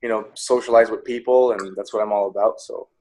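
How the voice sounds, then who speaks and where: A man's voice: on the low side, steady tone, fairly quick, darkish tone, low-quality recording. A young man student introducing himself, plain and hesitant with many ums. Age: young adult. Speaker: university student.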